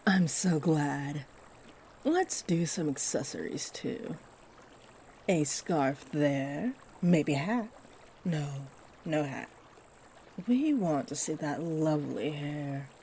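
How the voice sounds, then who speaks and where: A woman voicing a kindly fairy in a fantasy roleplay, gently encouraging and fussing over the listener with sweet endearments. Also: low-pitched, a little hiss or hum, exaggerated voice. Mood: delighted, encouraging. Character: kindly, doting fairy who gives magical makeovers. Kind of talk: fantasy roleplay audio drama, addressing the listener.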